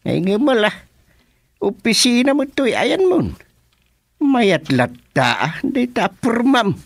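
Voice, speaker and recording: An actor playing an excitable, complaining village man in an Ilocano radio comedy, exclamatory and with an Ilocano Filipino accent. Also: extremely high pitch, takes it slowly, vocal fry, pauses often, no room sound, studio quality, heavily acted. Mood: excited, exclaiming.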